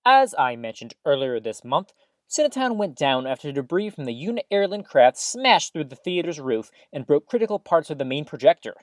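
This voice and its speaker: A woman's voice: sits low, very animated, moderate pace, no echo. A woman playing a chatty, upbeat small-town radio host in an audio drama, with folksy exclamations and theatrical news patter.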